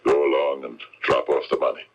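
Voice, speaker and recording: A middle-aged man playing a seasoned, suspicious private detective in a radio mystery, wry and blunt with a gravelly voice. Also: rumbling low, level tone, brisk, highs cut off. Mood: firm, knowing. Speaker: radio drama actor. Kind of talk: 1970s radio mystery acting.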